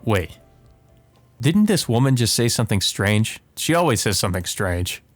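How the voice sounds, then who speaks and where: A man's voice: lowish voice, very animated, moderate pace, dry recording, soft background music. A man hosting a let's play, reading visual novel text aloud with casual commentary.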